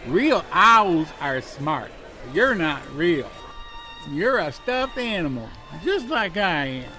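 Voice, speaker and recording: A man's voice: a little high, big pitch swings, slow pace, bright, forceful tone, soft background music, heavily acted. A man voicing a cute, innocent and slightly dim teddy bear in a comic audio drama, hesitant and easily sidetracked. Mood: defensive, innocent.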